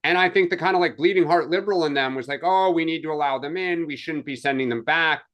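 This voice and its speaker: A man on a political talk panel talks things through casually, hedging and correcting himself as he goes. His voice sits a little higher than most men's. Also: fast talker, a bit bright, lo-fi sound. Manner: conversational, hedging, thinks aloud. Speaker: co-host.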